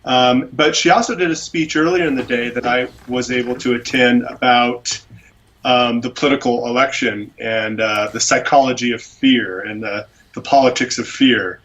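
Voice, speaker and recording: A man's voice: medium pitch, steady tone, normal speed, a bit bright, muffled recording. A man, an animal rights attorney, speaking as a guest in a phone interview and talking enthusiastically. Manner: enthusiastic, gushing about a speaker.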